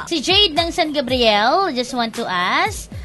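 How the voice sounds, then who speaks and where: A Filipino woman hosting campus radio in a high, very animated voice. She takes her time and keeps it playful, with clear music behind her and a Filipino accent. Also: slow pace. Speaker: radio host. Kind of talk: casual radio chat. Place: radio studio.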